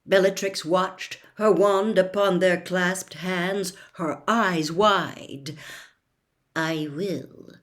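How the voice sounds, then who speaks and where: A woman reading a novel aloud as a storyteller, in a dramatic, deliberate, expressive audiobook style. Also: fairly low pitch.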